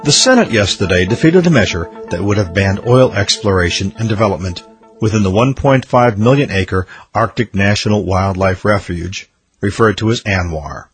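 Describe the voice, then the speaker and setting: A man hosting a radio show, reading scripted narration in an upbeat, promotional announcer manner with a husky voice. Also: on the low side, expressive, normal speed, faint music behind, poor audio.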